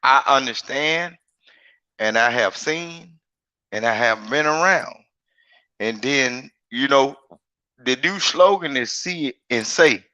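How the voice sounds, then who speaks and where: A big-voiced man talks emphatically in a casual online chat. His tone is bright and forceful, the sound is dry and a little dull, and he circles back and repeats his points. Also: mid-range voice, expressive, slow pace, pauses often, no room sound. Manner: rambling, emphatic, repeats himself. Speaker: guest. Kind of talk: casual live-stream chat.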